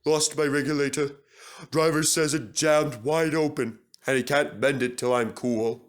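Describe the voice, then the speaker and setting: A man's voice: on the high side, steady tone, a little slow, natural voice. Male reader as a long-suffering talking steam engine for a children's story, sighing yet game. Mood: mournful. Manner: mournful, sighing. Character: glum, put-upon male steam engine with a plucky streak.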